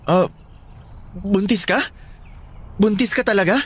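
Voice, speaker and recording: A man playing a sweet, eager young college boyfriend in a Filipino radio drama, gentle and a little hesitant. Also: high voice, takes it slowly, husky, exaggerated voice. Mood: startled, hesitant.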